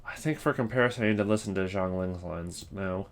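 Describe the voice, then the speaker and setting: Low-voiced male commentator, casual and rambling, working out opinions aloud. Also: a little fast. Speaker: video creator ranking game voice lines.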